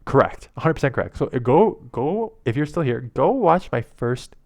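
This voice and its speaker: A man, rambling casually to camera in a monotone, low-key way on an old headset mic at his home desk. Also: moderate pace, no room sound. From Canada. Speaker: YouTube content creator. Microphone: old headset microphone.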